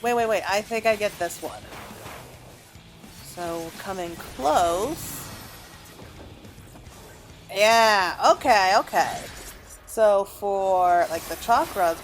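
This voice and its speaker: A woman's voice: mid-range voice, lively intonation, drawn-out words, long pauses, soft background music. A woman giving casual let's play commentary as a game streamer, thinking aloud and reacting as she plays.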